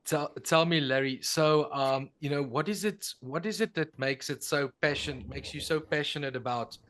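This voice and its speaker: Upbeat male podcast host, higher voice. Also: moderate pace, fairly bright tone. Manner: upbeat, welcoming interviewer. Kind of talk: podcast hosting.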